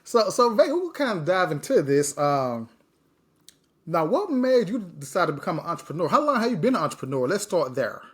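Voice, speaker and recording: A man from Mississippi, hosting a podcast interview in a lively, encouraging, conversational way. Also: sits high, brisk. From Mississippi, USA. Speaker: podcast host.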